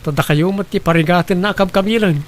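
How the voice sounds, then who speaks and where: A man's voice: high-pitched, fast talker, lightly acted. A man playing a concerned, helpful family man in a radio drama, speaking earnestly. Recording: faint background noise.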